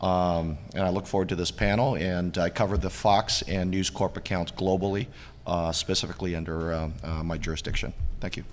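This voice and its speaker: A man on a tech panel who is losing his voice, measured and apologetic, with many fillers. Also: low-pitched, fairly even intonation. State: partly lost voice. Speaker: panelist, technical account manager. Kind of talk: conference panel talk. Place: large conference hall. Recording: reverberant hall, faint noise.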